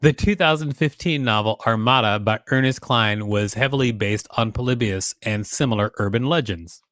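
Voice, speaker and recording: A man co-hosting a podcast, reading an encyclopedia article aloud in a plain, even way. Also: on the low side, normal speed, no room sound, studio quality.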